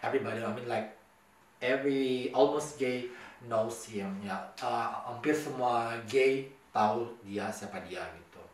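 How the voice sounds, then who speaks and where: A man with an Indonesian accent telling a personal story casually to camera, halting and repeating his words, mixing in some English. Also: medium pitch. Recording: very echoey room, distant mic.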